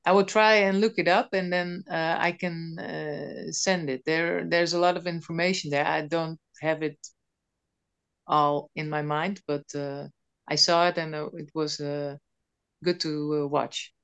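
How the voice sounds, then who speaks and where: A woman takes part in a group discussion in a slightly low voice. She speaks hesitantly and often stops for fillers. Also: steady tone, measured pace, clear, resonant tone. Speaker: group participant.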